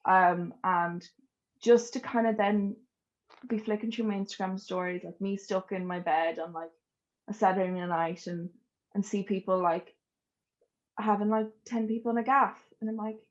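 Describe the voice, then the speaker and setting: A woman's voice: medium pitch, fairly even intonation, normal speed, lo-fi sound. A young adult woman with an Irish accent, chatting casually and informally as a podcast guest telling personal stories. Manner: chatty, informal, laughs easily.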